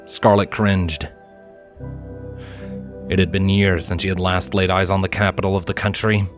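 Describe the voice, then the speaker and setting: A man's voice: deep voice, level tone, moderate pace. A man reading scripted story narration as the narrator of an audiobook. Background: soft music.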